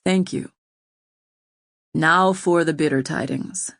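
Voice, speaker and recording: A woman reading an audiobook, voicing a troubled, gentle nobleman who speaks softly and ruefully. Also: low-pitched, creaky, no room sound, studio quality, exaggerated voice. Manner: soft, measured, rueful.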